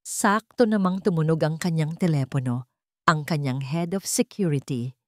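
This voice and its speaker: Low-voiced Filipino woman narrating dramatic fiction. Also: moderate pace, darkish tone, no room sound, studio quality. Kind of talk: scripted dramatic story narration.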